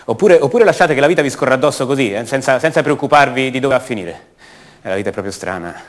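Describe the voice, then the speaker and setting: An actor playing an ordinary, self-doubting man back home, speaking a halting, conversational monologue in a radio drama. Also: mid-range voice, expressive, close to an everyday voice. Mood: questioning, uneasy. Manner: halting, conversational, rhetorical questions to the listener.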